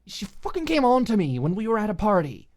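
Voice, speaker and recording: A woman's voice: medium pitch, big pitch swings, a little fast, a little mellow, dry recording, voice pushed up, lightly acted. A woman playing a clingy, jealous young girlfriend in an audiobook drama, pushy and insistent. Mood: angry, jealous. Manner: coaxing, insistent, scornful when crossed.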